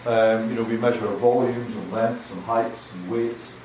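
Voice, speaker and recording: A man's voice: mid-range voice, fairly even intonation, measured pace, darkish tone. An older man with a Scottish accent giving a chatty, self-deprecating university lecture, often repeating words. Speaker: guest lecturer. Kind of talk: academic lecture. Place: large lecture hall. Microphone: handheld mic, heard from a distance. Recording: echoey hall, distant mic, faint noise.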